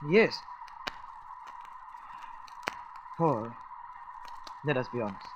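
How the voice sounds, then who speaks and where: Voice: mid-range voice, lively intonation, a little slow, lo-fi sound, slight background noise, close to an everyday voice. A performer playing an earnest, level-headed scientific space traveller in a radio serial, with precise, formal phrasing. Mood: grave, candid. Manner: precise, formal, insistent phrasing without contractions.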